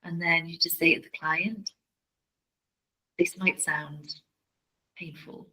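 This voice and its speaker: A woman's voice: fairly low pitch, fairly even intonation, slow pace, pushed and bright, husky, rough recording. A woman guest on a podcast, talking about her healing practice in an earnest way and repeating words as she searches for them. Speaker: podcast guest, family constellations facilitator. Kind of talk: casual interview chat.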